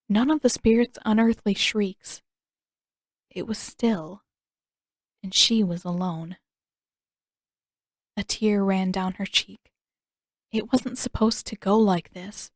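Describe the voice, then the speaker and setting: A woman's voice: medium pitch, no echo. A woman reading scripted story narration expressively, as an audiobook narrator close to the mic.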